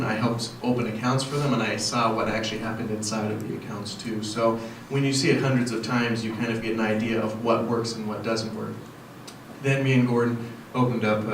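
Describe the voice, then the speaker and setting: A man who grew up in Saudi Arabia, a financial adviser speaking on a panel in an even, measured way. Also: lowish voice, a little hiss or hum. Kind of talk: panel introduction. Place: meeting room. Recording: very echoey room from far off.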